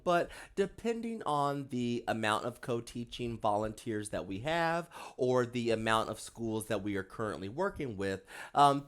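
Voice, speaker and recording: An orientation presenter giving a recorded walk-through to new volunteers. The speaker explains patiently, with frequent "um" fillers, and stresses key reminders. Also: medium pitch.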